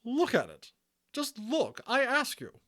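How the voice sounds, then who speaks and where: A man voicing a brisk, indignant nurse matron in a children's storybook, shrill, clipped and no-nonsense. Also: huge pitch swings, sharp tone, exaggerated voice. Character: brisk bossy British nurse matron, indignant older woman.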